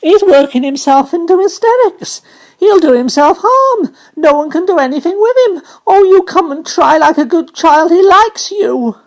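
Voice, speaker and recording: A woman's voice: very high voice, expressive, normal speed, voice pushed up. A woman voicing a frightened nurse who begs a child to help. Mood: anxious, pleading. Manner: anxious, coaxing. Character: frightened pleading adult female nurse.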